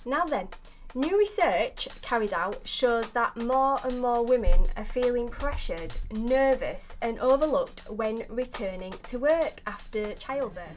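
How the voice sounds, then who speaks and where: High-voiced young woman presenting on radio. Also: animated, unhurried, faint music behind. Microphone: studio mic on boom.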